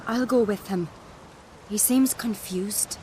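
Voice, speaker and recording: A woman playing a grave, composed island clanswoman in a fantasy video game, speaking in a measured, solemn way. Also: mid-range voice, dark tone, slight background noise, lightly acted. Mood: guarded, composed.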